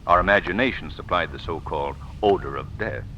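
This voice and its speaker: Suave teasing sleuth, radio play, lowish bright voice, condescending as he explains, dull vintage sound. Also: a little fast, a little hiss or hum. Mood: amused, confident. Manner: smooth explaining, gently condescending. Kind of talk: 1940s radio drama acting. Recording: muffled vintage radio broadcast.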